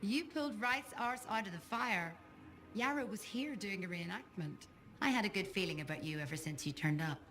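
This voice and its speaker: An actress playing a friendly, down-to-earth mechanic at a sci-fi fort in a video game, warm and familiar in conversation. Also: female voice, mid-range voice, normal speed, faint music behind, close to an everyday voice. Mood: friendly, candid.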